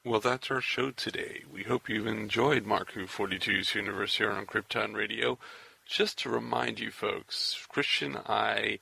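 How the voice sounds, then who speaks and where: A man hosting an interview podcast, with a gravelly, raspy voice and folksy announcer patter aimed straight at listeners. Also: lowish voice, fairly even intonation, unhurried, bright, forceful tone, rough recording. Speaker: podcast host.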